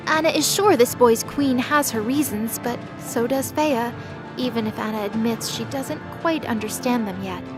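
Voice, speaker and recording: A woman's voice: on the high side, normal speed, over music. A woman reading dramatic scripted narration for a fantasy audio drama.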